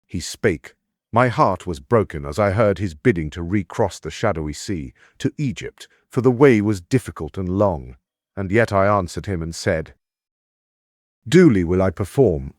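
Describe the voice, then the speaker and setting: Epic audiobook role: resolute ancient warrior king, deep and dramatic storyteller, dry close recording. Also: dry recording. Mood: heavy-hearted, earnest. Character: Greek warrior king recounting his hardships, resolute, earnest.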